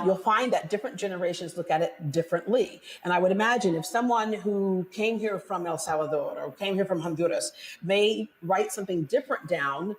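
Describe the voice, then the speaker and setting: A middle-aged woman hosting a TV panel discussion, conversational and quick to laugh. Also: lowish voice, rough recording. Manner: conversational, laughs easily, reads statistics. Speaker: TV talk show host.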